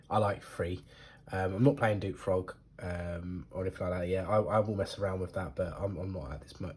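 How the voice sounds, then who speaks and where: A man talking casually about his card deck, hesitant and full of ums, sounding stuffy from a cold. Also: lowish voice. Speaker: card game deck reviewer. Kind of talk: casual talk to camera.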